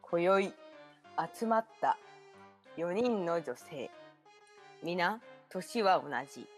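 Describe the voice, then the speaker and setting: A woman acts out a mysterious female host of strange tales in a radio play. She narrates solemnly and with measured phrasing in a lowish voice, over music. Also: expressive. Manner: slow, measured, solemn storyteller. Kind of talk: scripted radio drama narration.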